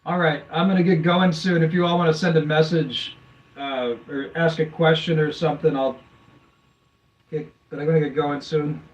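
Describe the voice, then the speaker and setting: A man's voice: a little high, fairly even intonation, normal speed, far from the mic, muffled recording. A young American man hosting a casual livestream, reading viewer messages aloud and answering them in a rambling way full of fillers. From USA. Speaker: livestream host reading chat. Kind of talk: casual live chat.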